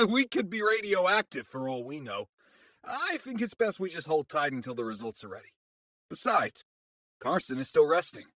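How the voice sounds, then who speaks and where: An actor playing an easygoing, wry adventurer with a husky voice in an audio fantasy drama. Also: medium pitch, big pitch swings, normal speed, a bit bright, no room sound. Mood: casual, reasoning. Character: teasing, easygoing armored adventurer.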